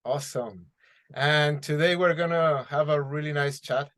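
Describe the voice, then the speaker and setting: A man's voice: on the high side, normal speed, a bit bright. A man from Mexico, hosting and introducing a guest on a podcast over a remote call. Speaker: podcast host. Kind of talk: podcast interview.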